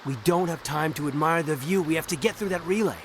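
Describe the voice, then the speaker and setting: A man's voice: a little high, fast talker, a little hiss or hum, lightly acted. A man playing an impatient, practical soldier in a science fiction video game, terse and businesslike. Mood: tense, impatient. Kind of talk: video game dialogue acting.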